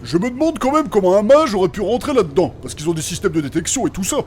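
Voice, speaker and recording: A man's voice: high voice, big pitch swings, fast, darkish tone, slight background noise. A man playing a blunt, sardonic adventurer with slangy, sarcastic talk in a French fantasy comedy audio drama. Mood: explaining. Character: gruff, sardonic, blunt male adventurer with technical know-how.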